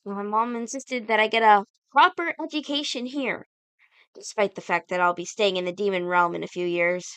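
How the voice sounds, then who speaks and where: A woman's voice: medium pitch, normal speed, a bit bright, clear, resonant tone, dry recording, natural voice. A woman playing an earnest, guilt-ridden teenage girl in a cartoon comic dub, speaking in a sincere, dramatic way. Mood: remorseful.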